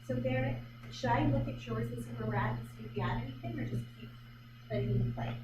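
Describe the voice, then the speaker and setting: Woman, painting demonstration, chatty and hesitant, fairly high voice, echoey room, distant mic, loud background noise. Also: fast. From Vancouver Island, Canada. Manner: chatty, self-questioning, hesitant with fillers. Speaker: artist leading a painting demonstration. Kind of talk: live painting demonstration, thinking aloud. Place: art gallery.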